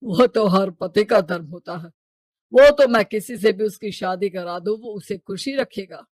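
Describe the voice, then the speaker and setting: A woman's voice: medium pitch, a little slow, darkish tone, no room sound, lightly acted. Stern, domineering cartoon father, acted by a woman. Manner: scolding, brusque. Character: stern, money-minded, domineering father of a bride.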